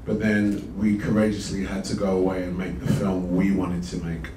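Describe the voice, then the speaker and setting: A man speaking as a lead actor at a press conference, measured and reflective, with many fillers, holding a handheld mic. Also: low-pitched, monotone, mellow. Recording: roomy, from a distance, noisy room.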